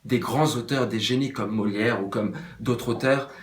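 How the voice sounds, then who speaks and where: A young man, a theatre director, earnestly pitching his company's project in an interview. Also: normal speed, a little hiss or hum. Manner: earnest, persuasive. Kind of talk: promotional interview. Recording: echoey room.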